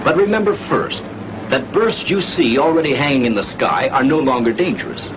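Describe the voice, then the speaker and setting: A man's voice: medium pitch, expressive, moderate pace. An American man reading scripted 1940s military training film narration in an authoritative, instructional manner. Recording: muffled, noisy 1940s film soundtrack.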